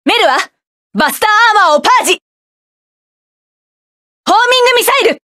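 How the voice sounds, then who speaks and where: A fierce mecha pilot barking orders. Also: female voice, very high voice, very animated, brisk, sharp tone, no echo, clean studio sound, exaggerated voice. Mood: commanding. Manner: commanding, barking attack orders. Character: fierce commanding female mecha pilot, young adult. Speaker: game character. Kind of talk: video game battle voice lines.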